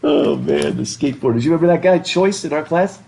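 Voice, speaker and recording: Stuffy-nosed professor lecturing a class. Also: male voice, a little high, brisk, vocal fry, warm tone, a little hiss or hum. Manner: chatty, laughing, asks the class questions. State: stuffed up nose. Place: classroom with a blackboard. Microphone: laptop webcam.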